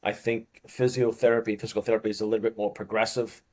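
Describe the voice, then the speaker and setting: Boston guy in his 50s, low husky voice, rambles thru stories in an interview. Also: flat delivery, fast. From Boston, USA. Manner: rambling anecdotal storyteller, chuckles often. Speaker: guest, fitness coach. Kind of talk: casual interview chat.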